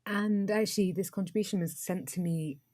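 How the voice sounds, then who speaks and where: A woman with a Received Pronunciation English accent hosting a podcast, talking casually and reading aloud in a flat, even way with frequent ums. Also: mid-range voice, a little fast. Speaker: podcast host.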